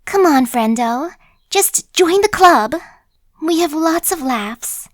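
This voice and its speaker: Woman voicing a high-pitched, chatty zombie girl. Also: animated, takes it slowly, no room sound, studio quality. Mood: casual persuading. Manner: casual slangy wheedling, buddy-like. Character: chatty, cajoling young female zombie, playful and sly. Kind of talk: ASMR roleplay acting.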